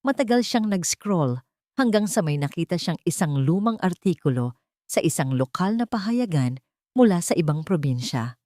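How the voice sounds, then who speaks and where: A woman's voice: lowish voice, expressive, normal speed, warm tone, dry recording, studio quality. A woman reading scripted dramatic story narration as the narrator.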